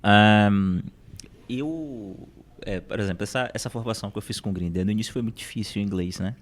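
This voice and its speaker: A man's voice: lowish voice, a little fast. A Brazilian man speaking as a podcast guest, explaining things in a conversational, motivational way with rhetorical questions and emphatic repetition.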